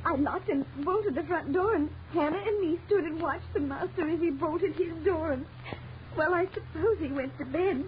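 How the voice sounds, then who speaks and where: Very high-pitched frightened servant woman. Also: normal speed. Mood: anxious, deferential. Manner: high-strung, pleading, deferential. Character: frightened, tearful servant woman, deferential to police. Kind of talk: 1930s radio drama acting. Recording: noisy, dull 1930s radio recording.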